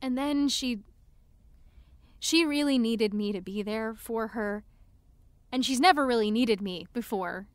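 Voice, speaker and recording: Nervous, high-voiced teenage girl, played by an actress. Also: unhurried, long pauses, clear, resonant tone, no echo, lightly acted. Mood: hurt, confessional. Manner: hesitant, rambling, anxious. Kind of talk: naturalistic audio drama acting.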